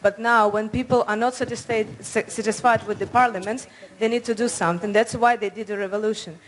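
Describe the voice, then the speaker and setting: A woman's voice: on the high side, fairly even intonation, low-quality recording. Woman commenting from the floor. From Ukraine. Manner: earnest, halting, non-native phrasing. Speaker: audience member.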